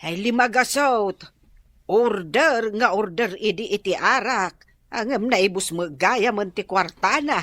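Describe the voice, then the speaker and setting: A woman's voice: medium pitch, measured pace, a bit bright, no room sound. A woman playing a blunt, grumbling canteen keeper in an Ilocano radio drama, with an Ilocano accent.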